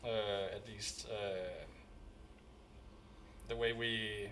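A man's voice: fairly low pitch, steady tone, pushed and bright, a little hiss or hum. Danish man groping for English words. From Denmark. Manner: halting, slips into Danish words. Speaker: band member.

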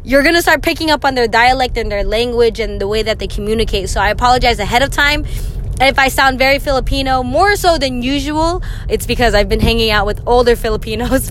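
A woman's voice: on the high side, a bit bright, slight background noise, rough recording. A young woman hosting her own podcast, talking casually from a vehicle, chatty and rambling with fillers and laughs. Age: young adult. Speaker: podcast host. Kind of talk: casual solo podcast monologue.

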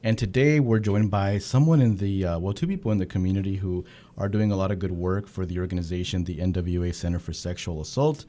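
A man's voice: low-pitched, fairly even intonation, fast talker, mellow, slight background noise. Easygoing male podcast host. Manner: easygoing, repeats and restarts phrases. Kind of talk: casual interview chat.

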